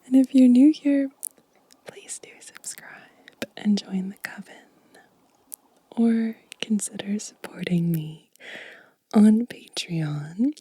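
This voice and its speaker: A woman softly whispering as an ASMR host, gentle and unhurried, with a breathy voice close to the microphone. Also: mid-range voice, dry recording. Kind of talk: soft-spoken whispered ASMR show and tell.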